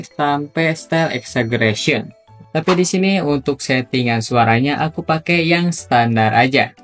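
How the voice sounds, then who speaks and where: A man narrating a casual, scripted tutorial as its host. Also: medium pitch. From Indonesia, Sunda region. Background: background music.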